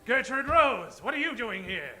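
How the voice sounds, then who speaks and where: A man's voice: very high-pitched, very animated, normal speed, pushed and bright, heavily acted, low-quality recording. A man playing a wisecracking, theatrical detective hero in an improvised old-time radio parody. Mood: startled, quipping.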